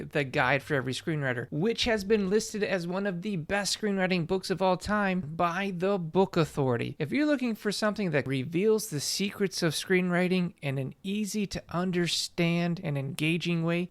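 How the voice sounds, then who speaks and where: Creaky-voiced man hosting a podcast. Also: high voice, dry recording. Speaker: podcast host and interviewer. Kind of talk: podcast intro and interview chat.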